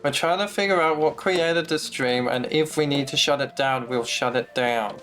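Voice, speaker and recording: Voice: mid-range voice, normal speed. A game streamer giving casual live commentary in blunt asides. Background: faint game music.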